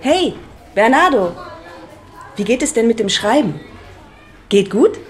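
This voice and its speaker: A woman's voice: fairly high pitch, very animated, measured pace. A woman playing a patient, encouraging primary school teacher in a radio drama. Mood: reassuring. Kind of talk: German radio drama acting.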